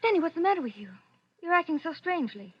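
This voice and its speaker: An actress playing a devoted, urgent, sharp-tongued young sweetheart in a melodramatic 1940s radio play. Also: female voice, very high voice, very animated, quick pace. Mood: overwrought, anxious. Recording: dull lo-fi old radio recording.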